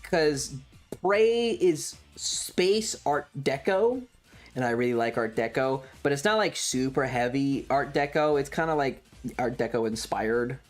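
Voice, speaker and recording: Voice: medium pitch, measured pace, faint music behind. A video game streamer chatting casually in a rambling, blunt way that is peppered with swearing. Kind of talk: casual livestream commentary.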